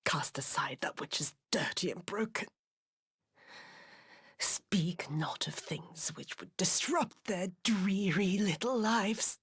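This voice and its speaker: An actress playing a bitter, coldly mocking, vengeful dying villainess in a video game cutscene, breathy and languid, savouring each phrase. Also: female voice, on the low side, takes it slowly, fairly bright tone, dry recording, studio quality, exaggerated voice. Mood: cold contempt. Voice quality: breathy, husky.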